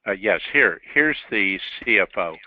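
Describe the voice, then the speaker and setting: A male company executive answering investor questions on a telephone call, measured and pausing between short phrases. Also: on the low side, animated. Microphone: telephone on a conference call. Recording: narrow dry telephone line.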